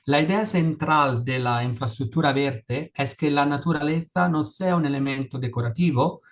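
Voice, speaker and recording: Man, non-native Spanish speaker, conference presentation, slightly high voice, careful delivery with restarts. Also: normal speed. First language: not Spanish. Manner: careful, with frequent restarts and fillers. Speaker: panelist.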